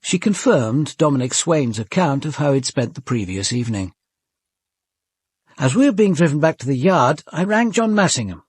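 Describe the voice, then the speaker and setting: An actor playing a composed, observant police commander with an English accent, in measured, formal radio drama narration and questioning. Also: male voice, on the high side, very animated, breathy edge, no room sound, studio quality. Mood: reflective, measured. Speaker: detective narrator.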